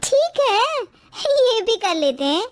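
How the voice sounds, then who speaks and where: Woman voicing a high-pitched, pert young daughter-in-law. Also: very animated, slow, exaggerated voice. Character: proud, cheeky young daughter-in-law in a family cartoon.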